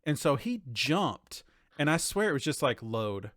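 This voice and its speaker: A man's voice: on the high side, sing-song, measured pace, fairly bright tone, no room sound, studio quality. A man co-hosting a podcast, chatting casually and enthusiastically about video games in informal language. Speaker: podcast co-host.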